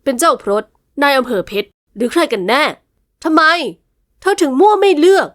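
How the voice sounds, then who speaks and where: A woman's voice: fairly high pitch, expressive, fast talker, a bit bright. A woman voicing a furious, jealous young man who speaks harshly through gritted teeth, in a storybook reading. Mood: accusing.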